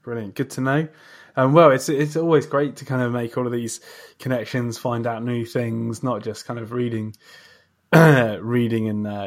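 A man hosts an interview show in a casual, chatty style. His pitch sits in the middle for a man, and his manner is effusive and quick to agree. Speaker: podcast host and interviewer.

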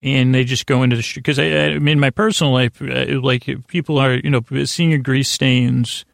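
Creaky-voiced man rambling in a dry recording. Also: medium pitch, fairly even intonation, no echo. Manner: halting, stammering, circles back and interrupts himself; self-deprecating, digressive. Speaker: host of a sleep podcast. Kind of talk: rambling bedtime monologue.

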